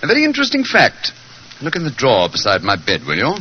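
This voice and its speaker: A self-assured English detective in a vintage radio drama. He talks fast and precisely, with commanding authority. The recording is old, dull and noisy. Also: medium pitch, huge pitch swings. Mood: brisk, urgent. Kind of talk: 1940s radio drama acting.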